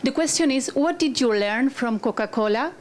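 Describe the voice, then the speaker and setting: A middle-aged woman with a Spanish accent speaks from the audience at a live event. Her voice is on the high side, and she is effusive while picking her way haltingly through her question. There is slight background noise. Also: animated. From Spain. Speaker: audience member asking a question.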